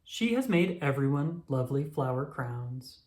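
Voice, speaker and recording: A woman reading a children's storybook aloud with expressive, animated storytelling and emphatic exclamations. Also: very deep voice, measured pace. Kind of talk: scripted read-aloud narration for young children. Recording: roomy, echoing sound.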